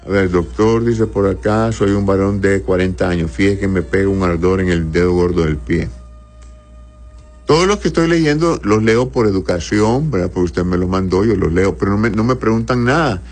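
Deep-voiced Honduran man giving medical advice. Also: moderate pace, darkish tone, faint music behind. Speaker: doctor answering audience health questions. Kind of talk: informal medical advice, reading questions aloud.

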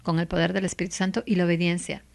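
Middle-aged woman, lawyer guest, low voice, gravelly, heartfelt, repeats for emphasis. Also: very fast. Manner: animated and heartfelt, laughs often, repeats words for emphasis. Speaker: guest, lawyer and legal commentator. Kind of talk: faith-based interview answer.

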